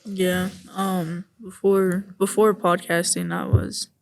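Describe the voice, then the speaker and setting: A woman's voice: fairly low pitch, little pitch movement, slow pace, gravelly. A young woman co-hosting a youth podcast, chatting casually and a little hesitantly, often saying "like". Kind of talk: casual personal chat.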